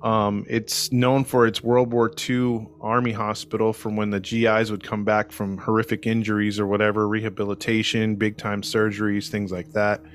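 A man's voice: lowish voice, little pitch movement, normal speed, hardly any pauses. Joking man narrating a podcast story. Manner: joking, conversational. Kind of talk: casual storytelling.

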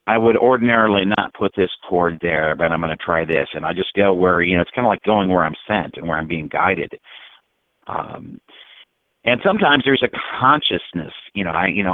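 Low male voice on a phone-like line. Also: no room sound. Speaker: musician and songwriter, interview guest. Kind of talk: casual, reflective interview talk. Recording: thin, dry, phone-like line.

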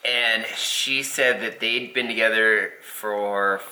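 A man in his thirties telling stories in a joking, animated way in casual chat. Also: fairly low pitch, slow pace. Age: 30s. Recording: roomy echo.

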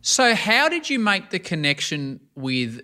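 Male podcast host, bright tone, slightly high, polite. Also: huge pitch swings, unhurried. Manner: polite, easygoing questioning. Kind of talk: interview questions.

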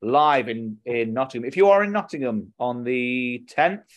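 A man's voice: medium pitch, animated, normal speed. A British man co-hosting a podcast in a casual, jokey, chatty way. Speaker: podcast co-host. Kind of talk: casual comedic chat.

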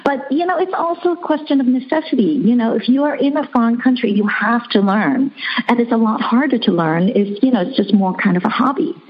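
Husky-voiced woman author, lo-fi audio. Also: fairly high pitch, expressive, fairly quick. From Hong Kong. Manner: thoughtful, with frequent "you know" fillers. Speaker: author, guest. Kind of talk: conversational interview. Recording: dull lo-fi audio.

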